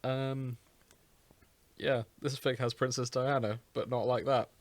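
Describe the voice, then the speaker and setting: A man's voice: medium pitch, flat delivery, a little slow, no echo. A man co-hosting a podcast, chatting casually about fan fiction with dry, sweary joking. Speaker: podcast co-host.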